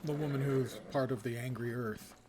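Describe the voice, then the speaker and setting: Clear background noise sits under a man of average pitch streaming a game. He chats casually and reads quests aloud. His humour is wry, wordy and self-deprecating. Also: steady tone, moderate pace. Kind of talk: casual gameplay commentary, reading quests aloud.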